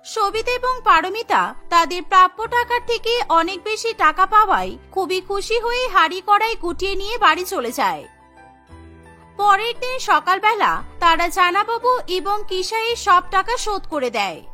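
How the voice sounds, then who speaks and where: Very high, bright woman story narrator. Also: sing-song, moderate pace, faint music behind. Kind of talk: scripted cartoon story narration.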